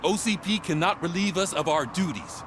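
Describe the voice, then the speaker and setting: An actor playing an authoritative, defiant police captain giving orders in a video game. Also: male voice, sits high, moderate pace, background noise, close to an everyday voice. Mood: rousing, defiant. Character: commanding male police captain, rousing and defiant. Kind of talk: video game cutscene acting.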